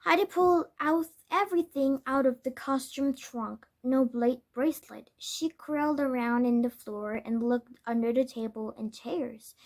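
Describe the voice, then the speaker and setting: A woman narrates a children's storybook in a high-pitched voice. Her pace is slow and halting, and she stumbles over words and corrects them as she goes. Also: a little mellow. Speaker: read-aloud storyteller. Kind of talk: scripted children's book narration.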